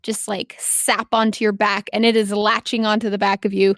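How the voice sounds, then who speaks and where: A woman's voice: medium pitch, level tone, moderate pace, no room sound, studio quality. A woman running a tabletop game as game master, narrating informally with vivid improvised descriptions.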